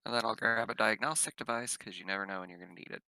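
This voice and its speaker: A man with a very bright, cutting voice, low and a little gravelly, chats as a player in a tabletop game. He is brisk and matter-of-fact. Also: fairly even intonation, no room sound. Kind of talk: casual game chat.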